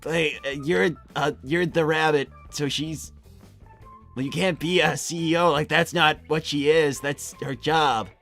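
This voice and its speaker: A woman voicing an awkward young male hedgehog who stammers nervously and haltingly in an improvised roleplay stream. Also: low voice, fairly even intonation, vocal fry, soft background music, heavily acted. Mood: awkward, hesitant. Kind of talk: improvised character roleplay livestream.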